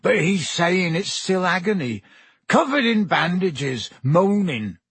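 A man's voice: a little high, expressive, a bit bright, slightly rough, no echo, heavily acted. Man voicing a thick-voiced, growling drunken gamekeeper. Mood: miserable, drunk. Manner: rustic dropped-word speech, slow and heavy. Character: huge drunk gamekeeper, miserable, soft-hearted, rough-spoken man.

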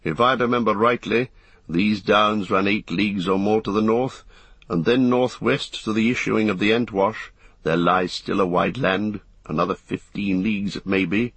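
Male narrator as grave ranger, lowish husky voice, watchful, brooding, resolute, fantasy audiobook. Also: fairly even intonation, a bit bright, no room sound. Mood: grave assessment. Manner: brooding, measured, archaic phrasing.

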